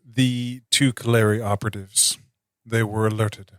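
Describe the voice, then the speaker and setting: A man playing a calm military intelligence officer in a tabletop game, speaking formally in halting, measured phrases. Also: lowish voice, no room sound, clean studio sound. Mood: calm, deliberate. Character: calm, deliberate male military intelligence officer, quietly insistent.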